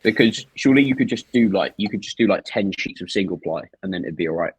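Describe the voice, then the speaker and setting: Young guy on a podcast, low husky voice, teasing his mate and reading out questions. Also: lively intonation, quick pace, no echo, poor audio. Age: 20s. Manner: teasing, reads out listener questions. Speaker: podcast co-host. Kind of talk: casual chat.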